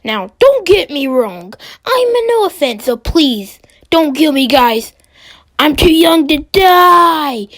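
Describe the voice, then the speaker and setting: Woman, high-pitched, video host, informal opinionated commentary, playfully dramatic. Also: sing-song, takes it slowly, fairly bright tone.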